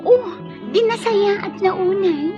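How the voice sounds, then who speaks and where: Young night worker, wistful, sociable, slow delivery, muffled audio, loud music behind. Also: female voice, very high voice. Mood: friendly, pleased. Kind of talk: Ilocano radio drama acting.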